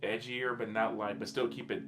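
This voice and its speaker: A man with a fairly low voice talks in a loose, rambling podcast style. He is informal and enthusiastic, and guitar music plays clearly behind him. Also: level tone, moderate pace. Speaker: podcast co-host. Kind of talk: casual podcast chat.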